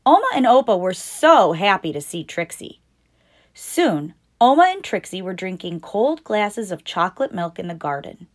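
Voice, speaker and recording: A woman reading a picture book aloud as a storytime host, deliberate and expressive for young children. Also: mid-range voice. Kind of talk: scripted picture-book narration read aloud.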